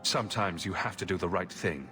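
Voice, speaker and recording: Here is a heroic champion in a video game. He is a steady, protective man with a low voice, calm and resolute. Long reverb and music surround him. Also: brisk. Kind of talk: dramatic video game cutscene acting.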